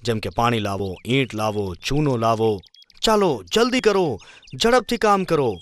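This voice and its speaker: A man playing a clever royal adviser in a cartoon, calm and reassuring. His tone is measured and his pitch is ordinary. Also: natural voice. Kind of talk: cartoon storybook dub.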